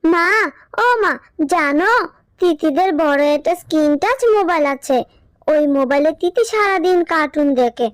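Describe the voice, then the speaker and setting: A woman plays a little cartoon animal girl with a very high, childlike voice. She is whiny and insistent, pleading and sulking in a dry, close recording. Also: normal speed, dry recording. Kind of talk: Bengali children's cartoon voice acting.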